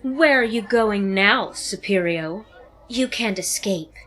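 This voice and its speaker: A woman's voice: mid-range voice, slow, a bit bright, some chatter behind. A woman playing a gloating, theatrical female villain in a superhero audio drama. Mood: menacing, taunting. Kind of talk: audio drama acting, stage villain lines.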